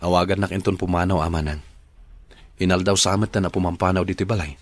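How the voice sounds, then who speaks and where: A man's voice: low voice, little pitch movement, fast, no echo. A man playing a troubled, patient husband and young father in a Filipino radio drama, with an earnest, mild manner. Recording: loud music bed behind the voice. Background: background music.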